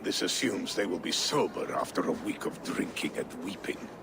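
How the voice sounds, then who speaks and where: A man's voice: deep voice, sing-song, normal speed, creaky, heavily acted. An actor playing a loyal, weary Viking clansman in a video game cutscene, with outdoor noise behind him. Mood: earnest, grieving.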